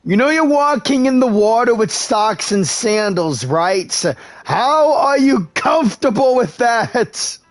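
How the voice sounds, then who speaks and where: A man streaming a game live and speaking replies to its characters in a halting, deliberate, earnest way. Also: extremely high pitch, big pitch swings, no echo. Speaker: gaming streamer and amateur voice-over artist. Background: game music.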